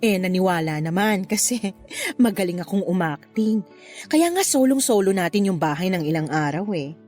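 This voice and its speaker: A woman's voice: lowish voice, animated, moderate pace, a little mellow, soft background music, close to an everyday voice. An actress playing a flirtatious married woman in her forties in a radio drama, with a coy, teasing manner. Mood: playful, eager. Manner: coy, teasing, breaks into giggles. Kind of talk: Tagalog radio drama acting.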